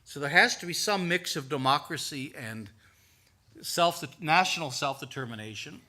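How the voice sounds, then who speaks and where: A man's voice: a little high, normal speed, pushed and bright. An older man, answering an audience question at a talk, hesitant at first with many restarts, then explaining earnestly. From United States. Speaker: guest speaker.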